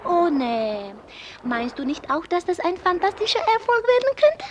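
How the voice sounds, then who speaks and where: A high, girlish young woman's voice, speaking slowly and theatrically as a vain, flighty, easily scared rich girl in a radio drama. Clear noise and ambience surround her. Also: big pitch swings, exaggerated voice. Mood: dreamy, playful. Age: young adult. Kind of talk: German radio play acting. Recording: noisy radio play with outdoor ambience.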